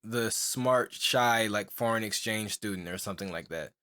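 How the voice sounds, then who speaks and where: A man's voice: lowish voice, normal speed, bright, forceful tone. A young man co-hosting a podcast, talking in a loose, rambling way with lots of fillers and restarts. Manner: rambling, full of "like" and restarts, laughs often. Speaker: podcast co-host. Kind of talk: casual chat.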